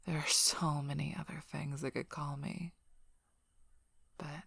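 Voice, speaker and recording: A woman playing a curt, sardonic, guarded female werewolf pack leader in an ASMR role-play, speaking bluntly and drily in a breathy, often whispered voice. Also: low voice, level tone, a bit bright, no room sound, heavily acted. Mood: dry, reluctant. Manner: blunt, dry, impatient, unhurried.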